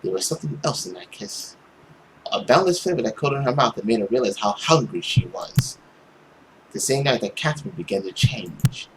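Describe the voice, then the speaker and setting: A man's voice: mid-range voice, lively intonation, normal speed, background noise. A young man reading a visual novel aloud as a let's player, in dramatic narration.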